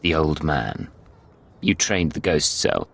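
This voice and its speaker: An actor playing a cool, hard imperial secret agent in a video game. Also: male voice, very deep voice, big pitch swings, takes it slowly, vocal fry, fairly bright tone, husky, faint music behind, full character voice. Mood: challenging. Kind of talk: video game drama acting.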